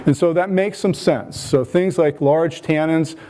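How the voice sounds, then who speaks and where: An American man, a wine chemistry researcher, giving a conference lecture in a relaxed, self-deprecating way with frequent ums and restarts. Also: fairly high pitch, unhurried, slight background noise. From United States.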